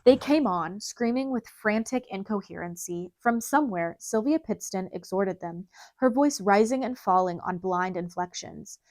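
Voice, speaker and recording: A woman's voice: medium pitch, animated, moderate pace, darkish tone, dry recording. A woman reading a story aloud as a dramatic scripted audiobook narration.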